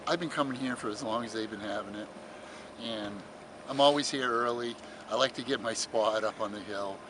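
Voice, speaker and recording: A man's voice: medium pitch, a little fast. Rambling older man over outdoor vehicle noise. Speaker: longtime radio swap meet vendor. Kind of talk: casual interview chat. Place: outdoors at a radio swap meet.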